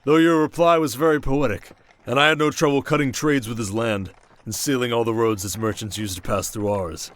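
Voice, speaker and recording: A man plays a shrewd fantasy king in an ASMR audio drama, with a mid-pitched voice. He is measured and wry, regal in bearing, with a teasing and protective streak. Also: a bit bright, close to an everyday voice. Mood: teasing, smug.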